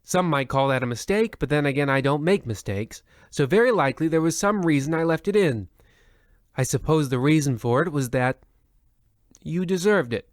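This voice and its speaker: A man's voice: mid-range voice, no echo. A man narrating a comedic old-time radio play in a haughty, smug, dry manner, addressing the listener directly. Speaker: narrator and host.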